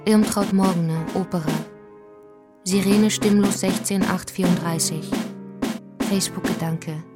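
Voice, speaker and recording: A woman narrating a radio feature, calmly reading terse notes and letters aloud. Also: lowish voice. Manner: terse, reads fragmentary keywords.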